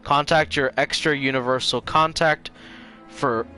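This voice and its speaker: A man's voice: mid-range voice, fairly even intonation, measured pace, sharp tone, smooth, soft background music. A man hosting a game livestream, switching between casual chat and dramatic reading aloud. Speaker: livestream host playing games and reading aloud.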